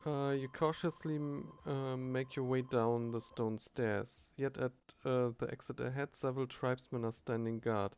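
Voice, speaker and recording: A man's voice: mid-range voice, fairly even intonation, normal speed, hardly any pauses, a little mellow, clear, resonant tone. A man reading a game's story text aloud as he plays, with frequent fillers and restarts. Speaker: game streamer. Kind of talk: reading game text aloud while playing. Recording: dull lo-fi recording.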